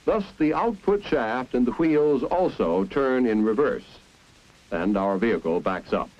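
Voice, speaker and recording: 1950s training film narrator, American man, lively intonation, deliberate explanatory delivery, muffled old soundtrack. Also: medium pitch. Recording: dull, band-limited 1950s film soundtrack.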